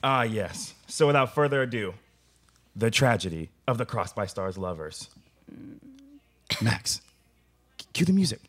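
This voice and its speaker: A man's voice: on the low side, big pitch swings, measured pace, pauses often, a bit bright, a little hiss or hum. A young man playing a pompous, easily exasperated theater student in a comedic radio play, grandiose and theatrical. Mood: self-important. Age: young adult. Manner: grandiose, theatrical, whiny when thwarted. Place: small studio room, seated at a table with stage mic.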